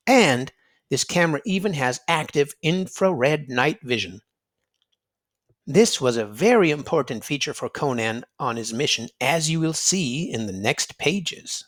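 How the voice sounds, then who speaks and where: A man's voice: on the high side, a bit bright. A man reading a children's nonfiction storybook aloud, explaining emphatically to young listeners. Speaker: children's read-aloud narrator.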